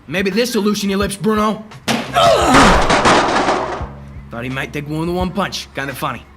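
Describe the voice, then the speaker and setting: A man's voice: high-pitched, expressive, fast talker, loud music behind the voice. A young man playing a tough, dry private detective with clipped delivery in a noir radio drama. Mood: threatening. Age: young adult. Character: hard-boiled male private detective, tough, dry, determined. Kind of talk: 1940s radio noir acting.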